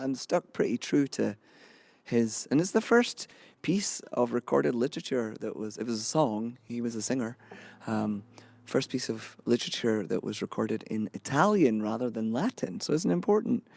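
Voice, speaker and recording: A slightly hoarse man, a singer and minister, talking reflectively between songs to a live audience with many fillers and restarts. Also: lowish voice, no echo. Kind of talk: relaxed talk between songs at a concert. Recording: guitar playing loudly behind voice. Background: guitar.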